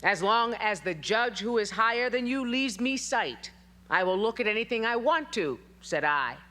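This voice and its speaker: An actress playing a feisty, defiant elderly female union organizer rallying miners in a forceful, wry, declamatory dramatic reading. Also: on the high side, lively intonation, moderate pace.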